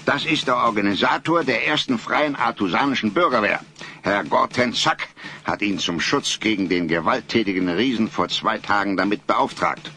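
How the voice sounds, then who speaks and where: A man's voice: fairly low pitch, expressive, moderate pace, bright, forceful tone, a little hiss or hum. A man playing a solemn old grandfather in an audio drama, telling things in a dramatic, old-fashioned, wordy way. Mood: aggrieved, worried. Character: solemn old grandfather, vindicated eyewitness storyteller. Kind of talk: German audio drama acting.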